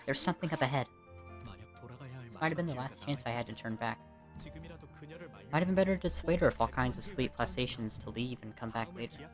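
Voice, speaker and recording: An amateur storyteller reads scripted first-person narration. The voice sits at a middling pitch. Music plays behind it. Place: indoors at home.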